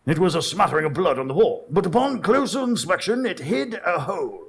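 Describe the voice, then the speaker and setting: An actor playing an earnest Victorian doctor who narrates dramatically in a radio drama, with a gravelly, husky voice. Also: male voice, sits high, very animated, fairly quick, exaggerated voice. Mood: puzzled, amazed.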